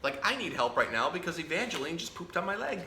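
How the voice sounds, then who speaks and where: Man, children's storytime host, expressive read-aloud, lively pitch swings, playful, roomy echo with faint noise. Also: fast. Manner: playful, expressive, talks directly to young listeners. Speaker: zookeeper hosting a children's storytime. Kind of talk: animated read-aloud of a children's picture book with chatty asides to a pet parrot.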